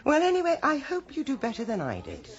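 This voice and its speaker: A woman's voice: high voice, huge pitch swings, quick pace, highs cut off. An actress playing a catty, flirtatious village woman in a theatrical radio drama manner. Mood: catty, suggestive.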